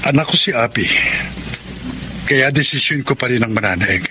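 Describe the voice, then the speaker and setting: A man's voice: medium pitch, expressive, moderate pace, noisy background, natural voice. A man playing a devoted, strong-willed single father in love in a radio drama, speaking earnestly and emotionally. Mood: firm, reassuring. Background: loud music.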